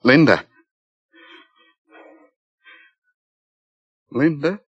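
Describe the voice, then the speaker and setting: An actor playing a fierce, grieving young outsider in a theatrical, declamatory 1950s radio drama style. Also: male voice, a little high, animated, moderate pace, breathy edge, lo-fi sound. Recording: vintage 1950s radio broadcast recording.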